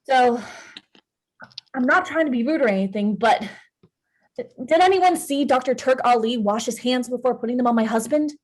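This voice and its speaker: A woman's voice: fairly high pitch, moderate pace, lightly acted. A woman acting an anxious, questioning, distrustful wife of a hospital patient in a screenplay table read. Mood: suspicious.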